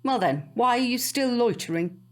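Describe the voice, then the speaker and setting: An actress playing a prim, disapproving, snobbish neighbour woman in an audio drama, husky-voiced. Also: a little high, lively intonation, moderate pace, natural voice. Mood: haughty, disapproving. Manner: prim, haughty, clipped.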